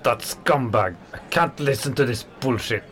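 An actor playing an angry working-class kitchen worker, a fiery socialist who talks in heated, scornful bursts. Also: male voice, medium pitch, normal speed, a crowd in the background, close to an everyday voice. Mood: furious. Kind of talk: radio play acting.

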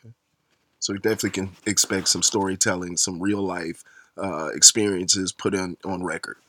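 A man's voice: low-pitched, steady tone, a little fast, pushed and bright. Hype slang-talking male radio host. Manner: hype, laid-back slang with frequent fillers. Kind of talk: hip-hop radio interview.

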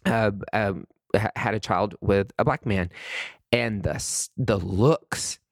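A man's voice: lowish voice, takes it slowly, dry recording, studio quality. A young man giving a sassy, emphatic rant to the camera, calling people honey and sweetie. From American South. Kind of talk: impassioned direct-to-camera rant.

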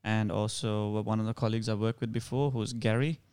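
A man's voice: lowish voice, a little fast, clean tone. A man with a Sri Lankan accent, a guest actor telling stories in a casual interview and laughing often. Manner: animated storytelling, laughs often.

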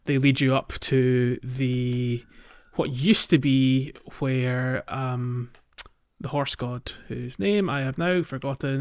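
Male podcast host, casual and rambling game chat, mid-pitched voice, slightly muffled lo-fi recording. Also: clean tone. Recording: dull, lo-fi audio.

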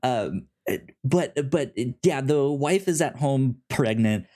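A man's voice: mid-range voice, animated, slow pace, mellow. A man hosting a podcast, retelling a comic plot in loose casual chat, often stuttering into repeated syllables. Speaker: podcast host. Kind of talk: casual comic book discussion.

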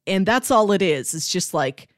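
A woman's voice: on the low side, lively intonation, normal speed, no echo, studio quality. A woman co-hosting a film podcast, talking casually and thoughtfully, often peppering her sentences with "like". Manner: thoughtful, conversational, frequent "like" filler.

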